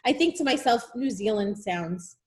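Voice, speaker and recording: A woman's voice: medium pitch, expressive, normal speed, darkish tone. A woman with a New York accent, a guest chatting on a video call, emphatic and given to repeating herself. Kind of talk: casual video call chat.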